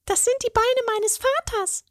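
A tiny female elf, a dancer, squeaks and scolds in a piercingly high voice. She is indignant but soft-hearted, snapping sharp little reproaches. The sound is close and dry. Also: unhurried, no room sound. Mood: proud.

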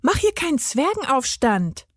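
Woman as a high-voiced plucky little girl. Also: very animated, measured pace, fairly bright tone, no echo, clean studio sound, full character voice. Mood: cheeky, bold. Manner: childlike, direct. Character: plucky, curious little girl, tender and cheeky.

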